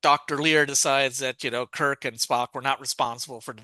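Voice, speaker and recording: A man's voice: medium pitch, fairly even intonation, a little fast, bright, forceful tone, dry recording. A man co-hosting a podcast, recapping a story in a rambling, casual way with many restarts and fillers. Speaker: podcast co-host.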